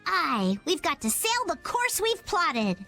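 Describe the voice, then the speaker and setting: High, quaintly solemn game youngster. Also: female voice, very animated, takes it slowly, pushed and bright, soft background music, full character voice. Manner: theatrical, quaint phrasing. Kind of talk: English anime game dub acting.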